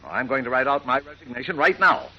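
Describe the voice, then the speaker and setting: A man's voice: medium pitch, sing-song, quick pace, pushed and bright, dull audio. A man playing a hot-tempered, stubborn middle-aged newspaper editor in 1940s radio style, blustering and emphatic. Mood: defiant. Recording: noisy old radio recording.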